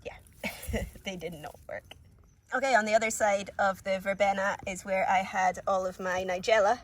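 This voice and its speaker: A woman's voice: medium pitch, fairly even intonation, moderate pace. A woman with a cold, a bit sniffly, chatting casually through a walk-through of her allotment garden with lots of ums and little laughs. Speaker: gardening vlogger.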